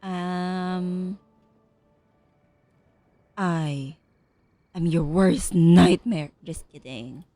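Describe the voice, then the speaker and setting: Slow-talking woman doing playful gameplay commentary. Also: fairly low pitch, mellow, no room sound. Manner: playful, banters with game characters. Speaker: gaming streamer. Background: game music.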